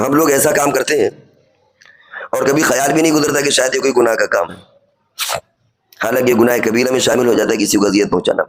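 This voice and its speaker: Male cleric, medium pitch, instructive Friday sermon delivery, reasoned and explanatory. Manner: didactic, reasoning step by step with examples and rhetorical questions. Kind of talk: sermon, explanatory religious lecture.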